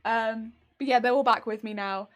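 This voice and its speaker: A woman's voice: on the high side, steady tone, moderate pace. A young English woman with a faint Welsh tinge to her accent, chatting casually and giggling on a crafting livestream. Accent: English, slightly tinged with Welsh. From England. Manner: chatty, giggly, rambling with fillers. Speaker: cosplay streamer.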